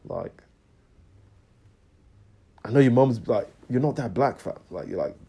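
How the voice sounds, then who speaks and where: A young man from Congo, telling a story in a slangy, animated rant and often asking "you know what I'm trying to say". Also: medium pitch, a little slow, vocal fry, slightly rough. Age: young adult. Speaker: vlogger.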